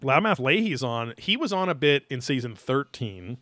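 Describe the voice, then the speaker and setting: A man in his thirties talks as a podcast host in a loose, rambling recap style. His medium-pitched voice is recorded dry and close, and he swears casually as he goes, slipping into theatrical readings of quotes. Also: big pitch swings, moderate pace, sharp tone, no echo. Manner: rambling, profane, reads quotes aloud theatrically. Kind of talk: casual episode recap chat.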